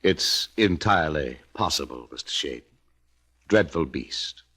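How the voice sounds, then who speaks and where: An actor playing a sardonic, disdainful uncle of a wealthy family, drawling with pompous mock politeness in an old radio drama. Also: male voice, rumbling low, animated, measured pace, bright, forceful tone, husky, full character voice. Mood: snide. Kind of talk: 1940s radio drama acting.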